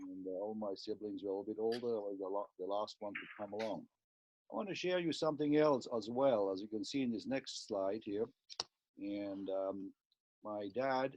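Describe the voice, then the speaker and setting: A man in his sixties from Switzerland with a Swiss accent, a cardiologist giving a halting talk over slides with many fillers and restarts. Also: on the low side, no room sound. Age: 60s. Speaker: cardiologist guest. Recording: dry, muffled lo-fi sound.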